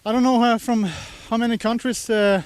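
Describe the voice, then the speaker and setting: Guy reporting outside on a hill, handheld mic, high clear voice, lots of uhs. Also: little pitch movement, no room sound. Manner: halting, many uhs, walking uphill while talking. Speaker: trackside race reporter. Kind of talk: live walking commentary. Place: outdoors on a grassy hillside. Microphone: handheld microphone.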